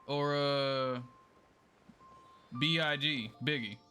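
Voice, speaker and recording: Bright-voiced man chatting on a stream. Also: mid-range voice, steady tone, drawn-out words, faint music behind. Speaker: livestreamer. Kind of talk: casual gaming stream chat.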